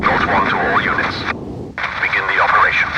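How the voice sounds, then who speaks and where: An actor playing a calm, idealistic enemy squadron leader giving commands over the radio. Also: male voice, deep voice, a little fast, distant mic, close to an everyday voice. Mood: cold. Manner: cool, commanding, radio callouts. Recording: loud music and aircraft noise.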